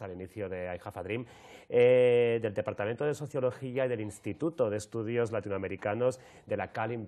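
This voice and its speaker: A man with an accent from Spain hosting an interview, wordy and halting as he rephrases his questions. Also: on the low side, darkish tone. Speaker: radio or TV show host. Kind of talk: live interview, introducing guests.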